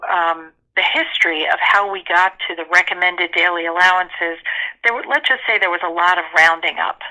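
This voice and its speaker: A woman's voice: on the low side, pushed and bright. A woman giving informative, emphatic explanations as a nutrition expert in a telephone interview, backing her points with figures and examples. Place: telephone call. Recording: dull lo-fi telephone interview audio.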